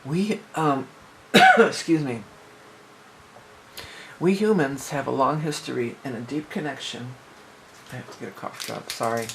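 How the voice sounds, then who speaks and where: Hoarse, chatty woman tarot reader. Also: rumbling low, unhurried, pauses often, a little hiss or hum, poor audio. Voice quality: raspy. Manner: chatty, loose, restarts and asides. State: hoarse, sore throat. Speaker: tarot reader, channel host. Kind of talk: casual tarot reading for viewers.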